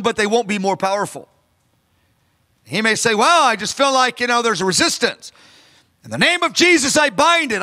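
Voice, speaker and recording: A man's voice: extremely high pitch, bright, forceful tone. A man in his fifties preaching a sermon on a large church stage into a handheld microphone, earnest and emphatic. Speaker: pastor. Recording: long reverb of a large hall.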